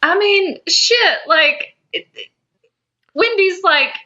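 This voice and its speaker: A woman's voice: extremely high pitch, expressive, slow pace, sharp tone, dull audio. A woman co-hosting a podcast, chatting casually and expressively about a cartoon. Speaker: podcast co-host.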